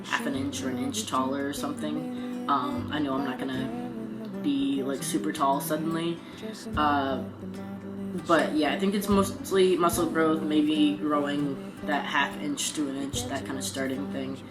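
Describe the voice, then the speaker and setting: A young man talking casually in a video diary, pausing often and filling gaps with "um". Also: a little high, level tone. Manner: halting, frequent ums. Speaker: vlogger. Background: guitar music.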